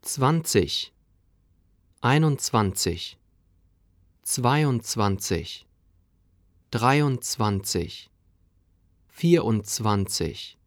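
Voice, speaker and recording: A man reading numbers and dialogue lines for a language course with clear, deliberate enunciation. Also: fairly low pitch, no echo, studio quality. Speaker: course audio speaker. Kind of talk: slow textbook reading.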